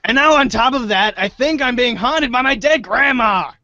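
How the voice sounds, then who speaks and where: A woman's voice: on the high side, animated, moderate pace, pushed and bright, no echo, highs cut off. A woman acting in a casual comic table read, hesitant and a bit exasperated, breaking off for asides. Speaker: actor in a reader's theater show.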